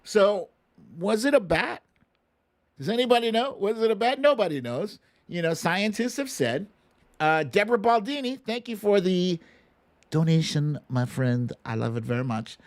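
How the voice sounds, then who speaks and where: A man's voice: sits high, very animated, normal speed. An American man hosting a live online talk show, chatting casually with viewers in an opinionated, emphatic, conversational way. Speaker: host of a livestream talk show.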